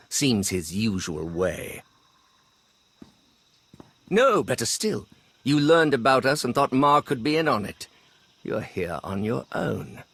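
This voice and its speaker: An actor playing a proud, calculating imperial lord in a video game, speaking in a measured, formal, haughty way. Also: male voice, fairly low pitch, a bit bright, husky, heavily acted. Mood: smug, defiant. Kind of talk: video game character acting.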